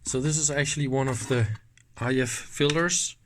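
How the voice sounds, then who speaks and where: A man's voice: medium pitch, fairly even intonation, normal speed, background noise. A man gives a casual, hands-on technical demonstration in an informal, enthusiastic manner. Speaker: host of an electronics teardown channel.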